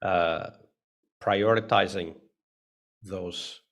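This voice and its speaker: A man's voice: low-pitched, steady tone, drawn-out words, a bit bright. A man hosting a live online session, speaking casually with many fillers and restarts over a video call mic. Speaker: host.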